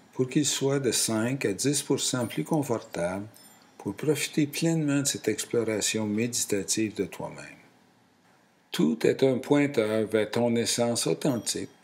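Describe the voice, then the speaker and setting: A man leading a guided relaxation in a calm, soothing and deliberate way, in short phrases. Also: mid-range voice. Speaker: guided meditation instructor.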